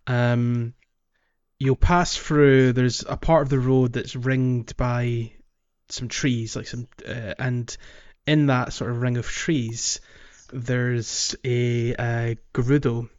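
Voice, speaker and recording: A man's voice: medium pitch, flat delivery, takes it slowly, darkish tone, clean tone. Male podcast host, mid-range voice, casual and meandering delivery, slightly lo-fi sound. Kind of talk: casual gaming podcast chat.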